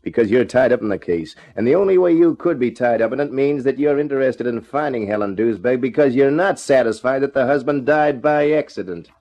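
An actor playing a wry, tough private eye who also narrates, in clipped 1950s radio detective style. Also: male voice, mid-range voice, fairly quick, hardly any pauses, mellow, lightly acted. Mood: probing, insistent.

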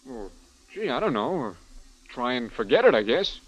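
Folksy bartender, very lively pitch. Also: male voice, breathy edge, dull audio. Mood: friendly, uneasy. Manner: folksy, halting. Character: friendly, hesitant, easygoing male bartender pal. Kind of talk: old-time radio drama acting.

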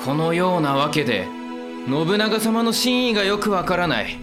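An earnest young samurai lord, with a high voice for a man. His speech is courteous, formal and old-fashioned, period audio drama style, over clear background music. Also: expressive, moderate pace. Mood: puzzled, probing. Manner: formal, deferential warrior speech.

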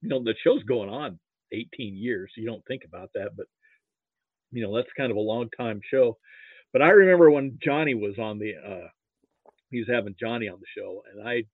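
A man's voice: on the low side, fairly quick, no echo, narrow, tinny audio. A middle-aged or older man from Nebraska reminiscing casually about sports as an interview guest, rambling and often repeating words.

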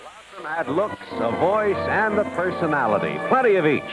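A punchy storyteller narrates an old radio play. His slightly high voice swings with animation. Loud music plays behind the vintage broadcast. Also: very animated, moderate pace, poor audio. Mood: brisk storytelling. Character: male storyteller narrating a show-business biography. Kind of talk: 1950s radio drama narration.

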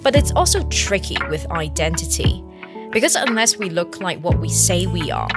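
A woman hosting a podcast, reading measured, scripted narration. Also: lowish voice. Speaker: podcast host.

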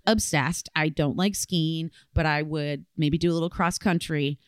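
A woman's voice: sits low, fairly even intonation, a little slow, background noise. A woman co-hosting a podcast, chatting casually in informal, colourful language. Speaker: podcast co-host. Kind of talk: casual chat.

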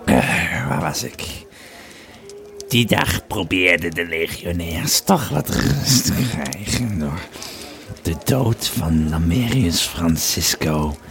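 A man playing a Roman legionary who grimly recounts camp intrigue in an audio drama, deliberate and often hushed. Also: deep voice, expressive, heavily acted. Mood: brooding. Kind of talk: first-person audio drama storytelling.

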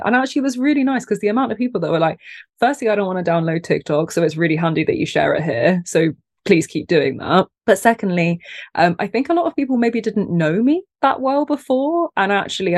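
A woman's voice: lowish voice, dry recording, clean studio sound. Soothing chatty woman guest. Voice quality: soft, soothing voice. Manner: chatty, self-deprecating, laughs often. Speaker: guest, content creator. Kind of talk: casual podcast conversation.

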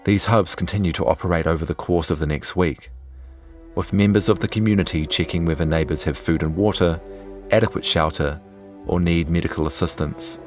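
A man reading scripted documentary narration in a measured, serious storytelling manner. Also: low-pitched, fairly even intonation, soft background music. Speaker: journalist narrator.

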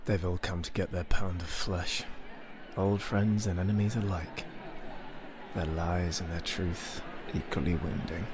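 Slow, deep, solemn exiled lord. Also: male voice, fairly even intonation, noisy background. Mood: bitter, subdued. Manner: solemn, theatrical, slow dramatic pauses. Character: proud exiled young lord narrating his empire's bitter history. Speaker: narrator. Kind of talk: scripted fantasy audio drama narration.

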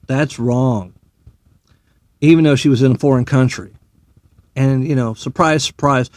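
Animated, sarcastic ranting man. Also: on the high side, dry recording, clean studio sound. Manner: profane, sarcastic, heated. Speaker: military veteran commentator. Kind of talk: ranting opinion monologue.

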